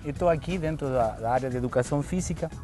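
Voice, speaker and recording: A man's voice: medium pitch, fast, warm tone. A man from Argentina with an Argentine Spanish accent, a fitness instructor explaining in a friendly way and often ending phrases with "tá?". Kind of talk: TV studio interview and demonstration. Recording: TV studio with background music.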